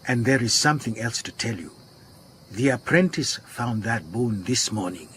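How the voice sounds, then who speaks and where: An actor playing a worried, earnest garage owner in a radio drama, with a Southern African accent, speaking gravely and deliberately. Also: male voice, mid-range voice, lively intonation, fairly bright tone, a little hiss or hum, close to an everyday voice. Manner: grave, deliberate, repeats phrases for emphasis.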